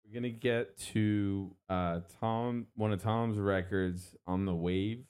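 A man's voice: lowish voice, steady tone, slow, a little mellow, clean tone. A man hosting a show, chatting casually and plugging events in a laid-back, conversational way. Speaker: radio or podcast host.

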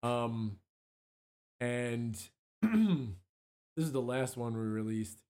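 A hoarse man, a musician guest, chatting casually on a local radio show with lots of ums and restarts. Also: mid-range voice, expressive, very slow, crowd noise. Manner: rambling, many ums and restarts.

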